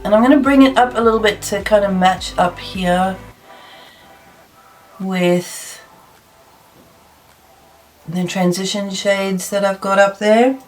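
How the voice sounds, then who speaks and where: A woman's voice: mid-range voice, steady tone, takes it slowly, pauses often, faint music behind, rough recording. A woman in her thirties from South Africa, chatting and thinking aloud as she does her makeup in a get-ready-with-me video. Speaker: beauty vlogger. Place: plain indoor room.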